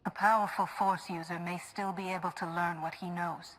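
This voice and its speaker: A woman's voice: fairly low pitch, level tone, moderate pace, fairly bright tone, poor audio. A woman playing a level-headed, direct hero in a video game, earnest and controlled. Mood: determined, serious. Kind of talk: video game cutscene acting.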